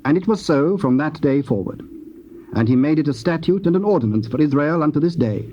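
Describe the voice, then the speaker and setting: A man narrating scripture in a solemn, measured and formal manner. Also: fairly high pitch, expressive. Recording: dull, hissy old cassette tape.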